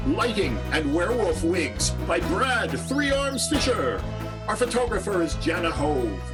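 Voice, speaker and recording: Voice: medium pitch, very animated, unhurried. An announcer giving theatrical scripted narration for a radio play. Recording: music behind the voice.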